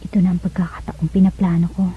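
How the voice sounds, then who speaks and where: A woman's voice: fairly low pitch, little pitch movement, fast, slightly rough. An actress with a Filipino accent playing a determined, scheming young woman resigned to a loveless marriage in a radio drama. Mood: resigned, resolved. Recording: dull lo-fi radio broadcast.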